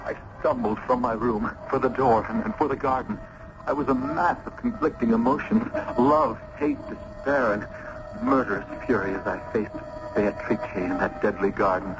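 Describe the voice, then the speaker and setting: A man's voice: medium pitch, normal speed, over music, lightly acted. An actor playing a tormented man who narrates his own turmoil in intense radio drama style. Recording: noisy, muffled old radio broadcast.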